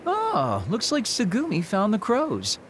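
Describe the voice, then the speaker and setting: An actor playing a cheeky, playful young soldier in an anime game, with a flippant way of talking. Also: male voice, sits high, wildly swooping pitch, unhurried, fairly bright tone, slight background noise, full character voice. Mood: teasing, breezy.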